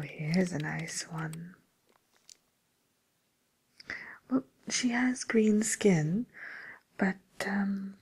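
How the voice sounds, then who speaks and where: A woman's voice: mid-range voice, darkish tone, exaggerated voice. Woman as a whispering, genteel villain matchmaker. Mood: matter-of-fact, discreet. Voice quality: breathy whisper. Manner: hesitant, polite, murmuring to one client. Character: genteel, businesslike female matchmaker for wicked villains. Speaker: ASMR role-play performer. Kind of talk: whispered ASMR role play.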